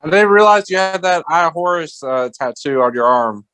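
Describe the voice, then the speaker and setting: A man's voice: fairly high pitch, animated, normal speed, sharp tone, smooth. A man making casual, friendly small talk as a participant in a show. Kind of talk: casual chat.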